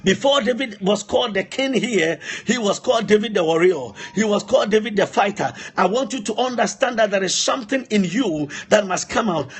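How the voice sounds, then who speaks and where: A man preaching an impassioned sermon, emphatic and repetitive, driving his points home with rhetorical questions in a husky, rough-edged voice. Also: high voice, a bit bright. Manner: emphatic, repeats phrases, poses rhetorical questions.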